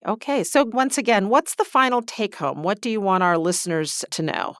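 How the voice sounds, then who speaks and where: A woman's voice: mid-range voice, animated, fairly quick, no echo. A woman hosting an interview podcast, asking structured questions and recapping the answers plainly. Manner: clear, structured, recapping what was said.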